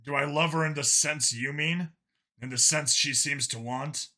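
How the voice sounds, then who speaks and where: A man voicing a jaded, emotionally guarded male cop who talks bluntly and haltingly, questioning himself, in an audiobook story. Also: a little high, steady tone, sharp tone. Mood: troubled, unsure.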